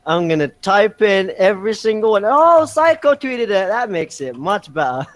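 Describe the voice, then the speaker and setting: A man co-hosting a casual podcast, giggly and playful, with a high voice. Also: big pitch swings, normal speed, no echo. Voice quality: high-pitched voice. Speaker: podcast co-host. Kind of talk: casual chat.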